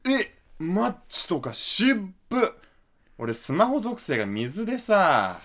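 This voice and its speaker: A man acting as a flippant, trend-obsessed young guy in a radio drama, excitable and full of casual slang. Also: high-pitched, slow, full character voice. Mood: excitable, cheeky. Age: young adult.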